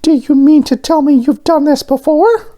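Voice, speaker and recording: A man voicing an excitable, naive little talking doughnut from a children's storybook, with an earnest, exclamatory manner. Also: very high-pitched, moderate pace, pitched above the performer's own voice. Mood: shocked, indignant.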